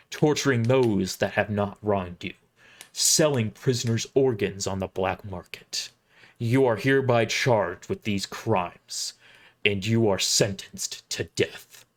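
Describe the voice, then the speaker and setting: A man voicing a noble, stern holy knight in a formal, solemn, declamatory way for an audio story. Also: lowish voice.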